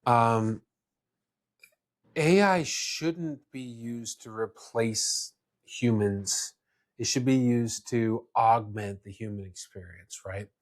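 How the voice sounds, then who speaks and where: A man's voice: medium pitch, slow. A man speaking casually as a podcast host, explaining his views with restarts and asides.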